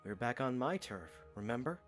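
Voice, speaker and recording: A man playing a gentle, stubborn young knight in an audio drama, speaking softly and informally with playful defiance. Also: mid-range voice, sing-song, normal speed, faint music behind, lightly acted. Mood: teasing defiance. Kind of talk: fan audio drama acting.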